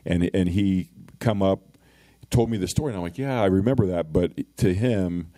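Man, middle-aged, deep voice, earnest, plainspoken, dark tone. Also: normal speed, no echo. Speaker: school resource officer. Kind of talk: casual podcast interview.

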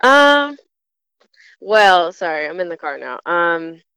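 A woman's voice: fairly low pitch, big pitch swings, takes it slowly, a bit bright, clear, resonant tone, no room sound. Friendly woman guest on a call, easygoing chat, dry close sound. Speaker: phone-in guest. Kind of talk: casual phone chat.

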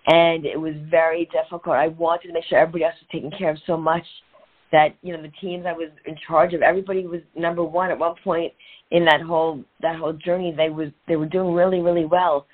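A middle-aged woman guest telling her own story, earnest and reflective, speaking in short phrases with pauses. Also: sits low, level tone, husky. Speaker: guest, author and transformational coach. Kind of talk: candid personal story in a podcast interview. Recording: tinny phone-like line.

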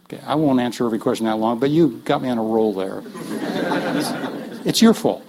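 Brief: male workshop leader, gravelly voice, conversational teaching, restarts often, faint background hiss. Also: mid-range voice, animated, normal speed. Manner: earnest, joking asides, frequent restarts.

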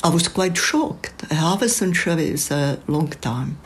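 An older woman with a Czech accent, a photographer speaking in a slow, measured, thoughtful way in a prepared reflection. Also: low voice, animated.

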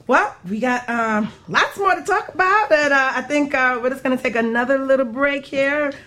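A woman's voice: sits high, normal speed, pushed and bright. A woman hosting a conversational radio interview, speaking informally with hesitant phrasing. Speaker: radio show host.

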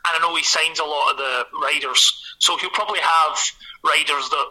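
A man's voice: fairly high pitch, steady tone, normal speed, bright, forceful tone, low-quality recording. A middle-aged man from Scotland with a Glaswegian accent, an author being interviewed, talking in a hesitant, restarting way. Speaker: author guest. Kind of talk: conversational interview.

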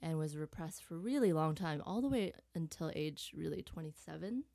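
A low-pitched woman tells personal stories as a podcast guest. She talks candidly and casually. The sound is dry, with very little room to it. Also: lively intonation, fairly quick, creaky, mellow, dry recording. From Vietnam.

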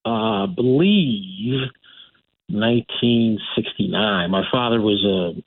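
A man's voice: mid-range voice, expressive, slow pace, creaky, no echo. Middle-aged man on a tinny phone line. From United States. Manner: rambling with restarts and self-corrections. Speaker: radio guest. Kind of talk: casual phone interview. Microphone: telephone.

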